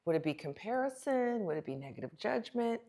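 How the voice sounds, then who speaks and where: A woman's voice: mid-range voice, very animated, fairly quick, warm tone. A woman speaking as a guest expert in an interview, teaching emphatically with rhetorical questions and acted-out remarks. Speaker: guest relationship expert and author. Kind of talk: animated interview talk, teaching with role-played questions.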